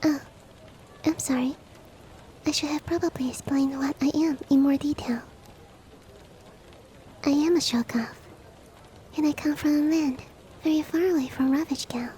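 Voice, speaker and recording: A woman playing a polite, devoted shapeshifting monster-girl maid in an ASMR roleplay, speaking softly and often in a whisper, with formal, gently earnest courtesy. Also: high voice, steady tone, moderate pace, pauses often, close to an everyday voice, rough recording. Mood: gentle, explaining. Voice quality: soft, breathy, often whispered. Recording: faint ambient rain noise behind. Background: soft rain ambience.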